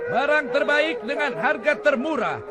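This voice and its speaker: A man's voice: lively intonation, moderate pace, loud music behind the voice. A man voicing a hungry, hapless male street peddler who hawks idols and complains to his master in a dubbed cartoon. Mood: hawking. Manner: high, pushy hawking; whiny complaining.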